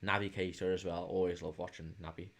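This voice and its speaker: A man's voice: deep voice, flat delivery, fast talker. A man with a Liverpool accent hosting a casual football watch-along stream, chatting informally and hesitantly as he answers viewers' comments. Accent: Liverpool English. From England, Liverpool. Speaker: livestream host.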